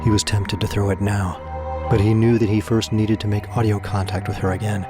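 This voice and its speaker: A man's voice: lowish voice, little pitch movement, moderate pace, music in the background. A man delivering scripted, dramatic story narration for a science fiction audio drama.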